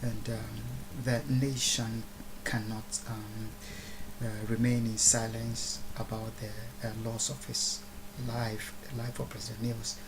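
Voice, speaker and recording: A man from Ghana with a Ghanaian accent giving a solemn, formal, oratorical address, halting with long pauses and deliberate repeated phrases. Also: mid-range voice, level tone, background noise. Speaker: speaker recording a video address. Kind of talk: solemn public address, unscripted.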